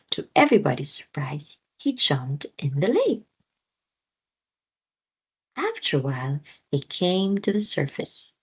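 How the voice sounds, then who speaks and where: A woman narrates a children's storybook in a low, creaky voice. Her phrasing is deliberate and very animated, and the recording is slightly dull. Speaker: storybook reader. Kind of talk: children's read-aloud narration.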